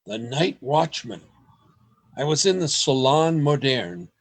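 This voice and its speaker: Guy playing an anxious writer reading out his diary, radio play style, unhurried dramatic storytelling. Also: mid-range voice, animated. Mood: hushed dread.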